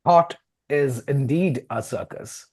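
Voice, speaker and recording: A man hosting a literary interview, speaking deliberately and repeating words for emphasis. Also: medium pitch, a little mellow, breathy edge. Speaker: interviewer, poet and publisher.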